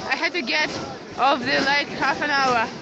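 A young woman with a Ukrainian accent, speaking English haltingly as she live-streams outdoors in the cold and reads viewers' comments. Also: high-pitched, fairly even intonation, gravelly, over a noisy crowd. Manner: halting, searching for words, grateful. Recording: muffled lo-fi phone stream, loud background noise.

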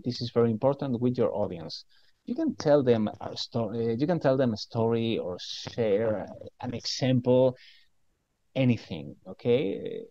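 A man's voice: medium pitch, dark tone, dry recording, lo-fi sound. A man with a strong Spanish accent talks over training slides in a chatty way, often asking "okay?" and saying things twice. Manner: chatty, repeats himself, asks "okay?". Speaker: pitch trainer.

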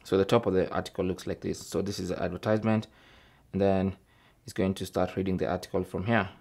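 A man's voice: sits low, level tone, quick pace, vocal fry, darkish tone. A man presenting a tech tutorial, narrating step by step in a methodical, informal way. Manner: methodical, informal, often tags phrases with "okay".

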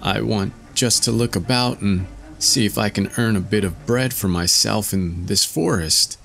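A man's voice: low voice, animated, slow pace, creaky, heavily acted. A man voicing a boastful but timid little male tailor, talking in a raised, strained, whimpering way.

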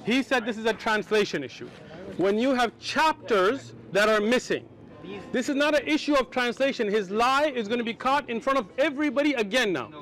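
A man's voice: very high-pitched, expressive, fairly quick, a bit bright, rough recording. A man in a heated outdoor street debate, repeating his points insistently and talking over the other side. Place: outdoor street event with a crowd. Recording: crowd noise behind.

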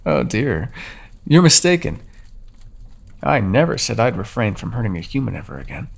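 A man playing a brooding, menacing vampire in an ASMR roleplay, speaking slowly and deliberately with theatrical intimacy. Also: lowish voice, sing-song, exaggerated voice. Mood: menacing, possessive. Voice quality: husky, gravelly. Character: brooding, menacing male vampire, remorseful yet predatory. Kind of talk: ASMR roleplay monologue, scripted dramatic narration.

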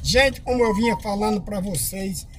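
A man's voice: sits high, normal speed, fairly bright tone. A man from Ceará with a Rio Grande do Norte accent, chatting casually to viewers as he drives his car. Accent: Rio Grande do Norte Brazilian Portuguese. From Ceará, Brazil. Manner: chatty, folksy, sings along while driving. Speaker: vlogger. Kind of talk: casual driving vlog narration. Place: inside a moving car. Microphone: phone camera on the dashboard. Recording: car and road noise behind the voice.

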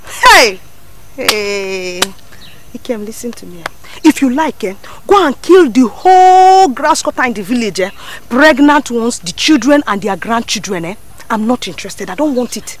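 A young woman with a Nigerian accent playing a sassy, scheming village girl, talking in an animated, coaxing, sing-song way. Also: mid-range voice, unhurried, exaggerated voice. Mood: dismissive. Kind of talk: Nollywood-style drama acting.

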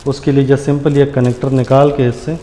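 A man hosts a casual how-to, talking viewers through each step as friends. His voice is soft-edged and dark in tone. It sounds recorded on a camera mic in a hard, echoey room, with faint noise behind him. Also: medium pitch, flat delivery, moderate pace. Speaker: tutorial host. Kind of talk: casual hands-on installation tutorial. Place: indoors in a tiled room, hands at a granite counter.

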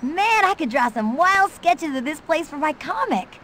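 An actress playing a lively, eager young skater and comic artist in a video game cutscene. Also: female voice, high voice, moderate pace, slight background noise. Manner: eager, expressive. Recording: game audio with music behind. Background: game music.

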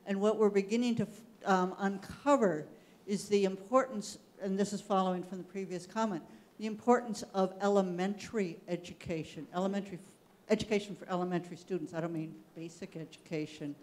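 Woman with a mellow, measured voice. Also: medium pitch, fairly even intonation. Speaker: audience member, education committee chair. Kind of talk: audience comment at a panel discussion. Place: large theatre hall.

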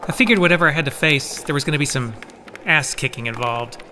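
Man playing a bright-voiced, wry rescuer. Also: a little high, fast talker, slight background noise. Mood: wry, resolute. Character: loyal, wry, reassuring male rescuer. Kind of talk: audio drama acting.

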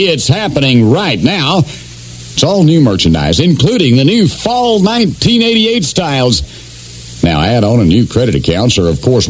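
Punchy male sale announcer, animated. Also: medium pitch. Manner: emphatic, punchy phrasing. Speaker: commercial announcer. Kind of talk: retail sale ad read. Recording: radio broadcast with faint noise, hum.

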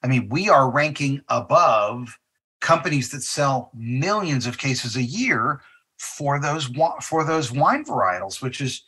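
A man's voice: mid-range voice, expressive, measured pace, sharp tone, slightly rough. A man from a winery speaking in a podcast interview, emphatic and promotional. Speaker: winery representative.

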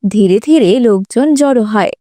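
A woman's voice: fairly high pitch, expressive, normal speed, mellow, no echo, studio quality. A woman narrating a scripted children's story.

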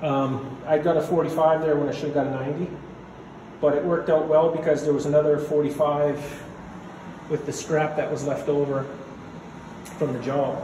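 A man's voice: a little high, flat delivery, moderate pace, dark tone. A man casually narrating his own basement repairs while filming on his phone, rambling and matter-of-fact with many fillers. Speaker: DIY home renovator. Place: concrete basement. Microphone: phone camera held while walking. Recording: echoey basement with background noise.

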